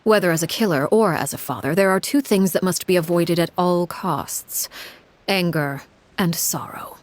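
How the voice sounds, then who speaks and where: A woman voicing a cold, imposing female head of household called Father in a video game, speaking in a measured, formal, menacing way. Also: on the low side, close to an everyday voice. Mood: stern, controlled. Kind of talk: scripted video game acting. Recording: clear background noise with clicks.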